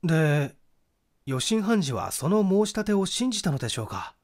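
A man's voice: high-pitched, big pitch swings, moderate pace, darkish tone, pitched above the performer's own voice. A man voicing a firm, sceptical man who politely but assertively argues a suspect's guilt in an audiobook mystery story.

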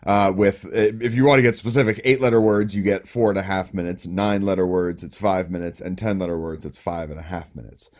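A man co-hosting a game show podcast, relaxed and explaining things at length with plenty of fillers. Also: sits low, brisk, few pauses. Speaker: podcast co-host. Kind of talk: casual chat.

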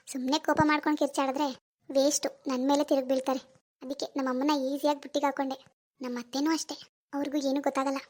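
A woman's voice: high-pitched, close to an everyday voice. A woman playing a scheming, lazy young wife in a domestic drama, sly and theatrical in her delivery. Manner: sly muttering alone, whiny playacting to others. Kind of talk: amateur domestic drama acting.

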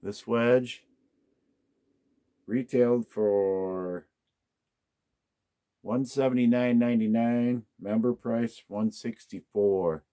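Man, unhurried, mellow low voice, casual unboxing chatter, thinking out loud. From United States. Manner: relaxed, musing aloud, hums to himself. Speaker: golf club unboxing host.

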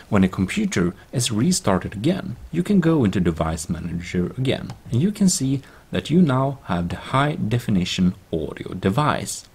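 A man giving a plain-spoken, step-by-step software tutorial as a voice-over. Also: fairly low pitch, gravelly. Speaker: tutorial presenter. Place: voice-over screen recording.

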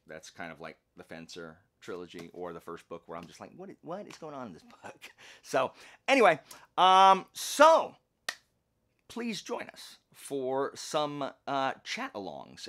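A man's voice: on the low side, fairly bright tone. A man hosting a book channel, chatting casually in a folksy, jokey way and often interrupting himself. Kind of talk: casual vlog chat.

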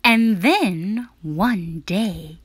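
A woman reading a children's picture book aloud as a storyteller, expressive and dramatic, sometimes dropping to a whisper for emphasis. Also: mid-range voice, extremely slow, slightly rough, no echo, clean studio sound.

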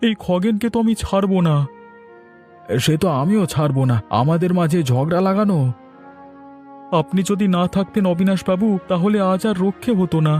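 A man's voice: high-pitched, normal speed, dark tone, faint music behind, close to an everyday voice. A man voicing an earnest, respectful middle-aged villager in a cartoon. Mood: indignant. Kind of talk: Bengali cartoon voice acting.

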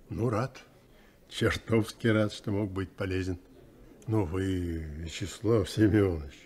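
Courtly old-salt tug captain, lowish slow voice, gracious, radio play. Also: male voice. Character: modest, courtly veteran tugboat captain. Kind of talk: radio drama acting.

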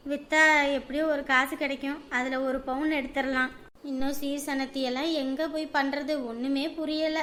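A woman's voice: high voice, little pitch movement, moderate pace, fairly bright tone, a little hiss or hum. A woman playing a rural mother who grumbles as she herds goats and scolds her daughter. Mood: grumbling. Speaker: mother of the family. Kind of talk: village family skit.

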